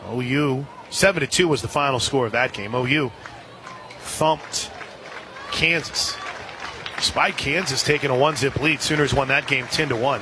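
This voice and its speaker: A man calling softball play-by-play on the radio, dropping into casual banter between pitches. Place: outdoor softball stadium broadcast position. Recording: outdoor stadium crowd and ambient noise. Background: crowd noise.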